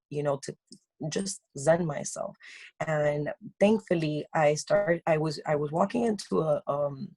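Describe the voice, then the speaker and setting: A woman in her twenties, a meditation guide and interview guest, telling her story in an effusive, warm way with fillers. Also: deep voice, creaky, dry recording. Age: 20s. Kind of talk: casual interview chat. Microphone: video call.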